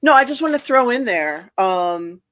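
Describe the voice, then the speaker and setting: A woman hosting a casual talk show, chatty and quick to jump in with asides. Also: fairly high pitch, sing-song, a crowd in the background. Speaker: host. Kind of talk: casual chat.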